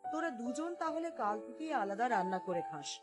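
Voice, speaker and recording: A woman voicing a gentle, self-sacrificing middle-aged mother-in-law in a cartoon, speaking in a placating way. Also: fairly high pitch, sing-song, normal speed. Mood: resigned, kind. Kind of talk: Bengali cartoon voice acting. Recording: loud music behind the voice.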